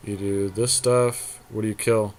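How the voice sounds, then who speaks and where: A man's voice: on the low side, level tone, unhurried. A man co-hosting a podcast, casually commentating a card game and reasoning aloud through the plays.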